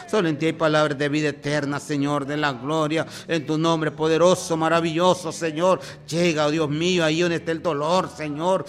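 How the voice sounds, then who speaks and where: A man leading an impassioned spoken prayer, pleading and repeating his words in a rhythmic way. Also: a little high. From former drug and alcohol user.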